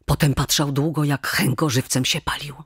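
A man's voice: on the high side, fairly even intonation, moderate pace, very noisy. A man narrating a historical audio drama in a scripted storybook style. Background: prominent music with drums.

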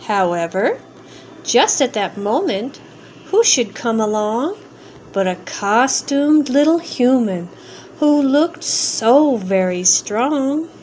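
Slow, high-voiced woman reading a children's story. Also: sing-song, a little mellow, slight background noise. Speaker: storybook reader. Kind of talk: expressive read-aloud children's story.

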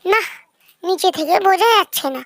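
A performer voicing a mischievous, always hungry trickster fox with a childlike, squeaky, singsong delivery in a children's fairy-tale cartoon. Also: normal speed, fairly bright tone, no echo, studio quality. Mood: greedy, searching. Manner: singsong, repeats a nonsense rhyming catchphrase.

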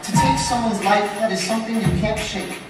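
A man's voice: high voice, brisk, close to an everyday voice. An actor playing a commanding, protective, honour-bound father of a young man in a rapped and sung stage musical. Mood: firm, pleading. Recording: reverberant distant mix over loud music.

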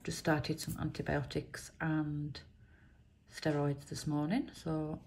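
A woman, croaky from a chest infection, chatting casually while she reads out letters and symbols on scratch cards at a table indoors. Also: sits low, dark tone, slight background noise. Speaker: scratch card vlogger. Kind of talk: casual commentary.

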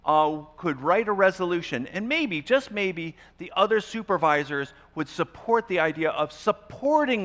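An older man hosting an event, giving a speech from a large auditorium stage, halting with many restarts. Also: high voice, fairly bright tone. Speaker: event host. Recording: long hall reverb.

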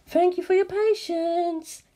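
A polite woman receptionist, as acted in a script reading, speaks in a raised pitch. She is courteous and questioning, with a slightly sinister edge, in an audio film drama. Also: fairly even intonation, slow, warm tone. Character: polite female office receptionist turning sinister.

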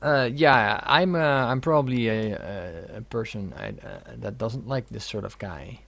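A man's voice: on the low side, expressive, unhurried, vocal fry, a bit bright. A young man giving casual let's play commentary, reading game dialogue aloud with jokey asides. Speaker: gaming video host.

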